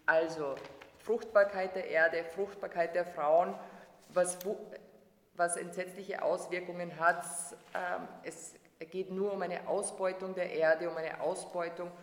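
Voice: medium pitch, flat delivery, measured pace. An interpreter translating a lecture, hesitant with frequent fillers and restarts. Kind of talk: consecutive interpreting. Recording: echoey hall.

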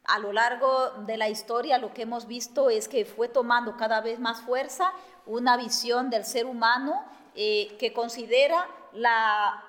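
A woman from Guatemala, giving a measured lecture with many pauses and fillers. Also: a little high. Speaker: speaker. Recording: echoey hall.

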